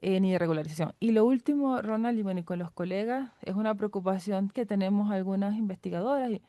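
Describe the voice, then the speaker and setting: A middle-aged woman from Venezuela explains things as an expert interview guest. Her voice is soft-edged and mellow, at an average pitch. The sound is dry and close, with little room in it. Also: fast, no room sound. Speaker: guest expert, political scientist and professor. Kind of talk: spoken analysis in an interview.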